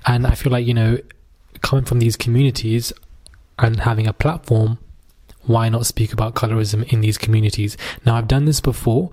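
A man's voice: medium pitch, fairly even intonation, moderate pace, mellow. A man hosting a podcast, talking in an informal, emphatic and conversational way about social issues. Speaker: podcast host.

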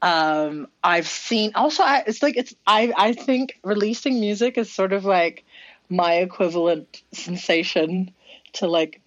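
A woman musician talking about her song in a casual interview, rambling and laughing, with a low voice. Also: unhurried, dry recording, highs cut off. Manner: giggly, rambling with many fillers and restarts. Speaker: musician guest.

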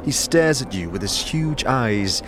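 A man's voice: mid-range voice, animated, measured pace, background noise. A man reading scripted second-person narration for a fantasy audio drama.

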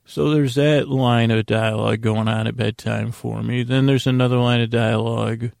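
A man with a gravelly, creaky voice rambling through a comedic monologue as a podcast host, halting, meandering and self-deprecating. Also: mid-range voice, fairly even intonation, a little mellow, dry recording, clean studio sound.

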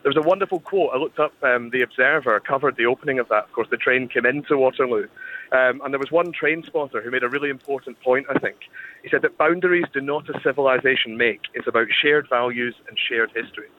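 A man with a Scottish accent, a historian guest explaining history on the radio in a measured, thoughtful way with hesitant pauses. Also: medium pitch. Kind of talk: conversational expert explanation on radio. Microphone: phone line. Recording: tinny phone line with faint noise.

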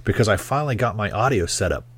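A man in a relaxed movie-podcast chat, his voice nasal and stuffed up from a recent nose surgery. He talks in an easygoing, friendly way, with plenty of "uh" fillers as he sets things up. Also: on the low side, quick pace, breathy edge, dry recording. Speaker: podcast host.

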